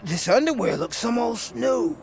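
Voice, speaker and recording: An actor playing a grumbling, eager trash scavenger in a video game. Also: male voice, very high voice, very animated, a little slow, gravelly, crowd noise, full character voice. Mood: grumbling, pleased. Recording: faint ambient noise behind.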